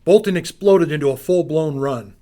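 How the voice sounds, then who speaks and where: A man with a fairly high voice narrates an adventure radio drama. His delivery is theatrical and scripted, built for suspense. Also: moderate pace, mellow.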